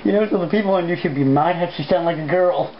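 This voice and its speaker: A woman with a very low voice reads a story in a casual, blunt amateur style. The recording is dull, with noticeable noise behind her. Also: quick pace. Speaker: reader. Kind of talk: amateur fan fiction narration with casual asides. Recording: muffled, noisy home recording.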